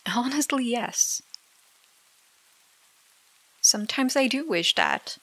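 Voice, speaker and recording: A jealous, wounded girlfriend acted by a woman in an audio roleplay, her voice a little high-pitched. She argues slowly and haltingly, exasperated and sarcastic, in a close, dry recording with rain behind her. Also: expressive, a bit bright, breathy edge, dry recording, full character voice, rough recording. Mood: hurt, conceding. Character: jealous, hurt young girlfriend arguing with partner. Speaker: ASMR roleplay performer. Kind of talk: ASMR girlfriend roleplay, dramatic monologue.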